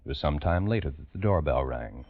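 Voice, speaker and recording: A man's voice: a bass voice, animated, fairly quick, dry recording, soft background music. A man giving formal, dramatic scripted narration for a 1940s radio crime program. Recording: dull old radio recording.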